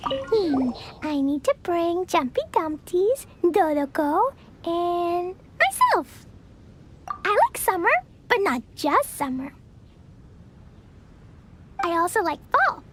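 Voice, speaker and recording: A woman's voice: very high-pitched, very animated, slow, long pauses, a bit bright, slight background noise, full character voice. A woman voicing a cheerful, earnest little girl with a childlike manner in a video game. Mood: playful, earnest. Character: cheerful little girl fond of blowing things up. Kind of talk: video game character acting.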